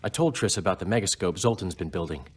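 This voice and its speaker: Lively, husky-voiced wry bard. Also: male voice, deep voice, fast. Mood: wry, informing. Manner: wry, theatrical phrasing. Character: wry, talkative male bard, cynical and eloquent.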